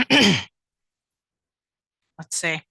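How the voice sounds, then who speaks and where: A woman's voice: mid-range voice, big pitch swings, very slow, pushed and bright, husky, dry recording, clean studio sound. A woman teacher reading a prepared public comment at a town board meeting, earnest and pleading, with frequent ums.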